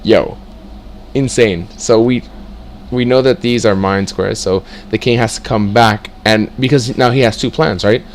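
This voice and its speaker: A young man teaching chess in a casual way, reading out moves and breaking into excited exclamations as he works through the position. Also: on the low side, measured pace, slight background noise. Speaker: chess tutor. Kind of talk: casual screen-share lesson, thinking aloud.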